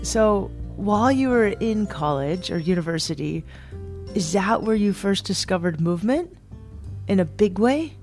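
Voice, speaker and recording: A woman's voice: mid-range voice, expressive, unhurried, a little mellow, faint music behind. A woman hosting a podcast, moving between scripted narration and interview questions. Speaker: podcast host.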